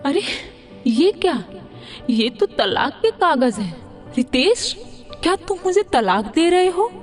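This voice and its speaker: A woman's voice: high voice, very animated, takes it slowly, faint music behind, full character voice. A woman voicing an arrogant, spoiled young daughter-in-law in a Hindi cartoon, mixing in haughty English phrases. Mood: shocked. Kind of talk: Hindi moral-story cartoon dubbing.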